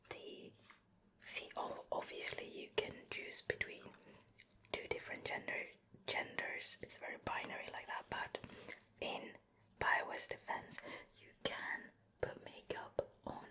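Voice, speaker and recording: A woman giving a gentle, unhurried show and tell in a soft, breathy ASMR whisper, very close to the mic. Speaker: ASMR video creator. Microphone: close ear-to-ear ASMR microphone.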